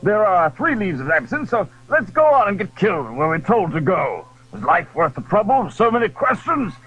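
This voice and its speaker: A man plays a cool-headed musketeer in a swashbuckling audio drama. His voice sits high and swings widely in pitch, with a husky grain. He speaks as a judicious man resigned to danger, on a dull, lo-fi recording. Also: very animated, moderate pace, a bit bright, heavily acted. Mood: resolute, calm. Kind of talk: audio drama acting of a classic adventure novel.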